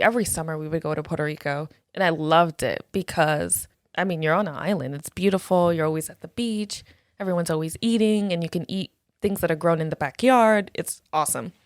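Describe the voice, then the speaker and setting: A woman guest casually recounting her story in conversation, with a relaxed storytelling manner and soft, frequent affirmations. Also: fairly low pitch, dry recording, clean studio sound. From United States.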